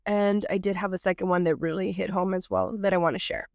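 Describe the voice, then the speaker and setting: A woman's voice: on the low side, fast, vocal fry, a little mellow, no room sound, clean studio sound. A woman from Texas hosting her own podcast, sounding nasal from a cold and talking in an earnest, reflective way with loose, improvised phrasing. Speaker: podcast host.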